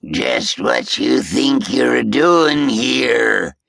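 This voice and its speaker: A man voicing an old, waterlogged male ghost who hisses and gurgles his words in a slow backwoods drawl. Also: mid-range voice, animated, gravelly, a bit bright, no room sound, pitched above the performer's own voice, heavily acted. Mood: gruff. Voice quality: hissing, gurgling. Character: waterlogged old male swamp ghost, gruff, hoarse, mocking.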